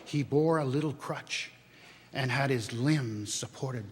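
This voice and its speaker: An older man giving an expressive, unhurried dramatic reading of a classic story in a church. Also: medium pitch, slightly rough. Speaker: dramatic reader. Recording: long church reverb.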